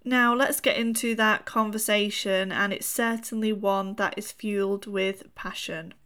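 A woman's voice: medium pitch, steady tone, measured pace, a bit bright. A woman hosting a podcast, reading a prepared introduction and then chatting with a guest in an earnest way, with some fillers. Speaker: podcast host. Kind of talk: scripted intro then casual interview.

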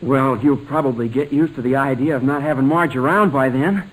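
An actor playing a polite, nervous young suitor in a 1940s radio comedy, speaking in a deferential, hesitant way. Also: male voice, mid-range voice, a little fast, lightly acted, poor audio. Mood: earnest, nervous. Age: young adult.

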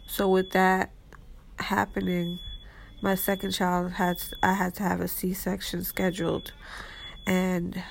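A woman with a stuffy nose from a cold, telling her own story as a guest in a soft-spoken, unhurried way. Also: medium pitch, vocal fry, a little hiss or hum. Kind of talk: casual personal storytelling.

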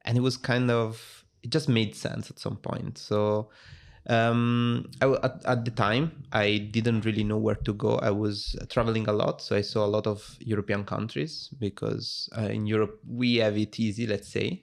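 A young man with an Italian accent talks through his story in a relaxed interview. His voice sits low, and he thinks aloud with plenty of ums and self-corrections. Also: normal speed, vocal fry. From Italy. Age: 20s. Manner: thoughtful, with frequent fillers and restarts. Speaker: guest. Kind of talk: casual interview chat.

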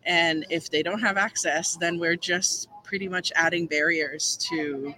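A middle-aged woman from Rico, in Spanish. Her voice is very bright and cutting. She explains things in an earnest, steady, conversational way. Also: fairly low pitch, unhurried, rough recording. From Puerto Rico. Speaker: guest, curriculum program manager. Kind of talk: conversational podcast interview.

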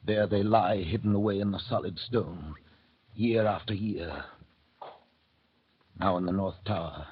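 An actor playing a composed, grave banker, narrating with measured authority in old radio drama style. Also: male voice, deep voice, a little hiss or hum. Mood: solemn. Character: English banker recounting history, composed and grave. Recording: dull vintage radio recording, music behind.

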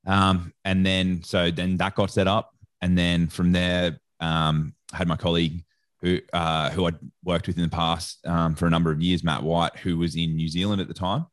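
Man, Australian accent, low-pitched voice, casual interview storytelling, informal and slangy, dry close sound. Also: little pitch movement, normal speed, dry recording. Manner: informal, slangy, often quoting others in reported speech. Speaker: interview guest, sports nutrition educator.

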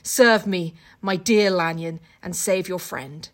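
A woman's voice: lowish voice, slow pace. A young woman co-hosting a podcast, chatting casually and reading aloud in an irreverent, giggly, sweary way. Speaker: co-host of a book-retelling podcast. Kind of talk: casual chat with comic read-alouds.

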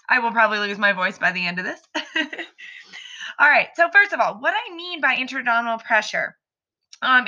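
A woman hosting a solo fitness podcast, explaining earnestly and encouragingly, her voice slightly raspy from allergies. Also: fairly high pitch, pushed and bright. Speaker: podcast host and pelvic floor fitness coach.

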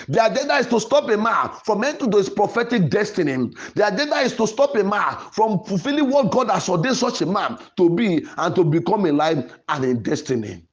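Guy preacher leading fervent prayer, pretty high voice, really animated, keeps repeating stuff insistently. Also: fairly quick, hardly any pauses. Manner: insistent, repetitive, declamatory. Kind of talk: fervent deliverance sermon and prayer.

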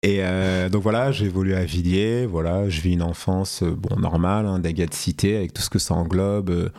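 A man in his late thirties from France, with a fairly low voice. He talks as an interview guest in a loose, unhurried way. He hesitates often and drops slang as he recalls his youth. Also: steady tone, gravelly, a little mellow. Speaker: guest, fashion entrepreneur. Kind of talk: casual interview talk.

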